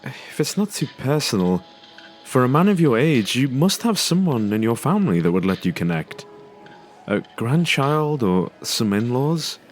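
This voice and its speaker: A man's voice: mid-range voice, very animated, moderate pace, soft background music, natural voice. An actor playing a courteous, inquisitive family man, speaking politely and tentatively in an audio drama. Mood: curious, probing. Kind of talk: naturalistic audio drama dialogue.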